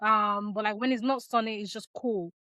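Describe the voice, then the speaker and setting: A woman in her thirties from Nigeria, a podcast guest talking in a measured, reflective way with frequent ums, over a video call. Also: medium pitch, fairly even intonation, pushed and bright, no echo. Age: 30s. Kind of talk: casual interview chat.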